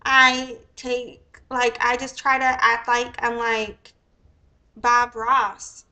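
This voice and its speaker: A young woman with a squeaky voice who talks in a rambling, profane, casual livestream style. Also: completely flat delivery, very slow, bright, forceful tone. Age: young adult. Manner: rambling, profane, many fillers. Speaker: live streamer.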